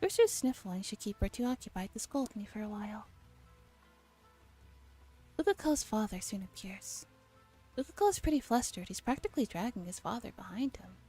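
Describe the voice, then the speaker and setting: A woman livestreamer softly reading a visual novel aloud in ASMR style, acting out the dialogue expressively. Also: mid-range voice, moderate pace, long pauses, slightly rough. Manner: expressive, acts out the dialogue lines. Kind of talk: soft ASMR read-aloud with translated dialogue. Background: game music.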